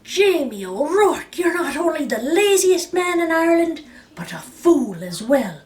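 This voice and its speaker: A furious country wife from an Irish storybook acted by a woman reader, scolding hard. Her voice climbs high and swings widely, with heavy emphasis on the words. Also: big pitch swings, measured pace, darkish tone, far from the mic.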